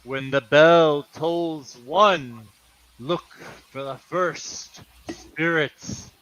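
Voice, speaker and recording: A man's voice: on the high side, drawn-out words, fairly bright tone, slight background noise, rough recording. A man playing the mournful, warning ghost of a dead business partner in a comic radio play, solemn and declamatory. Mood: ominous.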